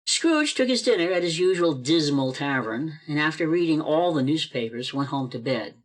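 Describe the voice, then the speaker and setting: A man's voice: on the high side, big pitch swings, normal speed. A man narrating a church radio play over a video call, reading expressively and building suspense.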